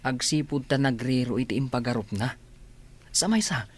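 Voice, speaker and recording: A man's voice: mid-range voice, moderate pace, slightly rough, close to an everyday voice. A man playing a reproachful confidant in an Ilocano radio drama, speaking in a probing way with an Ilocano accent. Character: questioning, reproachful male confidant.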